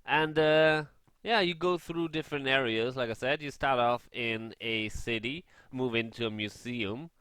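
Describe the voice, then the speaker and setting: A man with a crisp, bright voice talks casually as a gaming vlogger. He is halting and rambles as he reviews while playing. The sound is close and very dry. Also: mid-range voice, no room sound. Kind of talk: casual game review chat over gameplay.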